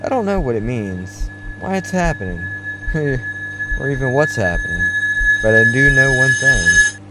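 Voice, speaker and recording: Man playing a theatrical late-night paranormal radio host, swooping pitch. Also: sing-song, loud music behind the voice, poor audio. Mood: weary, unsettled. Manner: theatrical, folksy, wry phrasing with deliberate pauses. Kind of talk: scripted late-night radio show monologue.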